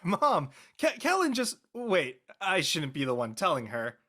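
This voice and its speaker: A man playing an excitable, loyal high school senior jock, speaking in slangy exclamations in an audio play. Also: unhurried, full character voice. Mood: playful. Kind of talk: naturalistic dialogue in a live-streamed audio play.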